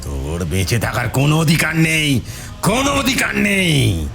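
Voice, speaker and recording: A man playing an enraged, menacing evil occultist with a gravelly voice in an audio horror drama. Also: a little high, wildly swooping pitch, a little slow, a bit bright, full character voice. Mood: furious, threatening. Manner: incantatory, bellowing, menacing. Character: enraged evil tantric occultist chanting and threatening. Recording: music under the voice.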